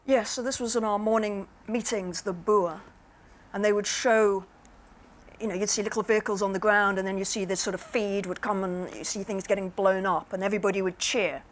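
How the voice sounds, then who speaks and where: A woman's voice: mid-range voice, steady tone, fairly quick. A woman speaking as an invited speaker, answering audience questions in a reflective, plain-spoken, explanatory way. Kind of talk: measured Q&A answers.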